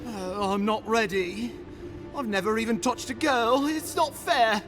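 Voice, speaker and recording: High-voiced, panicky wounded young man. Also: measured pace, over music. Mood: panicked. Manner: stammering, pleading, panicky. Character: frightened naive wounded young man, whiny and dazed. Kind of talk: video game voice acting.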